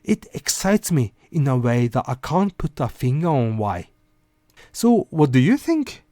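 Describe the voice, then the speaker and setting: A man's voice: medium pitch, very animated, measured pace, dark tone, no room sound, studio quality. A man hosting an informal commentary monologue, speaking conversationally with rhetorical asides to the listener. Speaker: host of a weekly rant video show.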